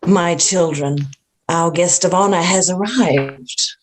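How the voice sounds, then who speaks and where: A woman's voice: fairly low pitch, slow pace. A woman playing an ancient, inhuman cult acolyte in a horror script read, speaking with grandiose, taunting deliberation. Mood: sinister, grandiose. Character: sinister ancient female thing, cultic acolyte of cosmic gods, grandiose, taunting. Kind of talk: dramatic horror table read.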